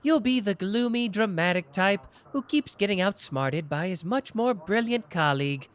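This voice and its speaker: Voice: mid-range voice, lively intonation, moderate pace, close to an everyday voice. A performer voicing a smug, boastful young male detective in an ASMR roleplay, teasing and playful.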